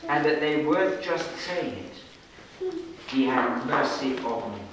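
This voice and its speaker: Middle-aged male storyteller for kids, slow, dramatic delivery, heard from across a very echoey room. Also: mid-range voice. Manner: dramatic, deliberate, with pauses. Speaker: storyteller at a children's puppet show. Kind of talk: scripted storytelling read aloud to children. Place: children's classroom-like room, indoors. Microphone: far camera. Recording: echoey room, distant camera mic, noisy.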